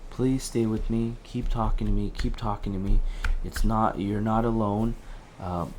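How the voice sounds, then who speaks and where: A man's voice: on the low side, fairly even intonation, a little slow, a little mellow, a little hiss or hum, natural voice. A man playing an earnest, empathetic crisis helpline operator in an audio drama, reassuring and imploring in short, repeated pleas. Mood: desperate.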